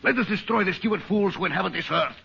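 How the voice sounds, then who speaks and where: An actor in an old-time radio drama playing a cunning, megalomaniac scientist villain who speaks in a theatrical, halting way. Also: male voice, high-pitched, creaky, bright, forceful tone, husky, heavily acted. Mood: fervent, grandiose. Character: scheming megalomaniac male scientist villain, falsely meek, cunning. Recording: dull, noisy old radio recording.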